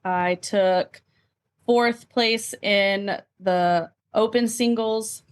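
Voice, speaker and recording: A woman's voice: mid-range voice, fairly even intonation, very slow. A woman guesting on a podcast, answering questions in an informal, conversational way. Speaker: podcast guest, amateur athlete. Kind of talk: casual interview answers.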